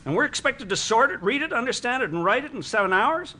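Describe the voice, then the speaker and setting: An actor playing a fretful, sceptical newspaper reporter who complains in exasperation, in a naturalistic audio drama. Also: male voice, very high voice, sing-song, quick pace, sharp tone, heavily acted. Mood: indignant.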